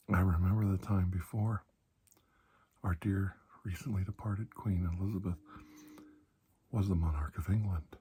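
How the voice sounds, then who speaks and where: Husky, low-voiced man in soft ASMR. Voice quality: breathy, husky. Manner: slow, calming, deliberate with long pauses. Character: calm, reassuring older military doctor treating alien infection. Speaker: ASMR performer playing a doctor. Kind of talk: soft-spoken ASMR medical roleplay, often near whisper.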